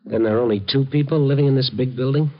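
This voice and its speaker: A man's voice: medium pitch, quick pace, natural voice. An American man acting in a 1950s radio drama as a tough, wry, kindly newspaperman who narrates his night story in an understated way. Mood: wry, probing. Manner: wry, hard-boiled, understated. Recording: dull, band-limited 1950 radio recording with music.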